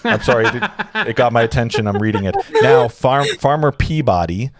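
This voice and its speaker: A man's voice: medium pitch, huge pitch swings, a little fast, vocal fry, a loud crowd. A man narrating screenplay directions in an informal live read, stumbling and correcting himself with amused asides.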